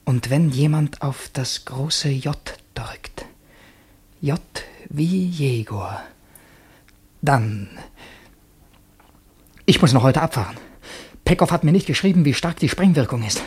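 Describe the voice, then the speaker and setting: A husky, breathy secretive plotter. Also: male voice, mid-range voice, expressive, takes it slowly, long pauses, exaggerated voice. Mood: anxious, urgent. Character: tense, secretive male revolutionary plotter posing as loyal secretary. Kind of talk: German radio drama acting, confessional diary monologue.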